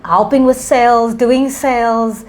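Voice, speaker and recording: A woman from Cape Town, answering interview questions gently, with frequent pauses. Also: on the high side, fairly even intonation. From Cape Town, South Africa. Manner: soft-spoken, gentle, many "um" pauses. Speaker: fashion designer guest.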